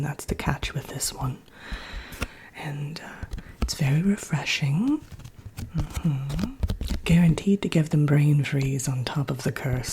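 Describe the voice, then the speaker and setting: A woman acts out a wicked storybook queen in an ASMR roleplay, whispering softly and low. She is genteel and drily villainous, taking her time over each phrase as she instructs. A faint noise sits behind the breathy voice. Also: poor audio. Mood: genteel, instructive. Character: haughty, wicked fairy-tale Evil Queen teaching recipes. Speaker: workshop presenter.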